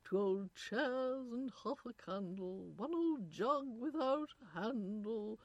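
Posh english nurse reading nursery rhymes, high pitched, super slow n drawn out, very theatrical. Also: female voice, lively intonation, darkish tone, no echo, dull audio, heavily acted. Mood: grand, singsong. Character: prim English nurse reciting nursery verse grandly.

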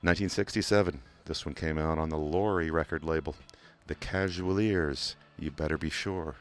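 A man with a Kentucky accent hosting a community radio music show from a studio, talking in a relaxed, rambling way with many "uh" fillers and restarts. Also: extremely low pitch, moderate pace. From England. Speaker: radio DJ host. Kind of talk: casual radio DJ talk. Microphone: studio microphone.

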